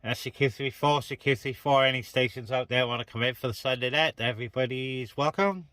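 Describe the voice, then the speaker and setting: A man's voice: mid-range voice, brisk, a bit bright, no room sound. A man chatting casually on CB radio, hosting an open call for other stations. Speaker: CB radio operator hosting a net. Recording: very noisy CB radio hiss and static.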